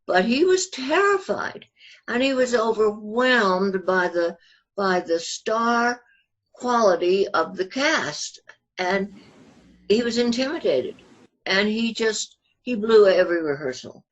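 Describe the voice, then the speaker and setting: An older woman being interviewed, telling family anecdotes conversationally in a halting but animated, storytelling manner, on muffled audio. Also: medium pitch. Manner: halting, animated retelling with acted-out quotes.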